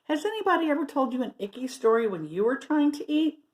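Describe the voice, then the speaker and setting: A woman storyteller reading aloud to children expressively, pausing to put questions and asides to her listeners. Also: sits high, animated, a little mellow.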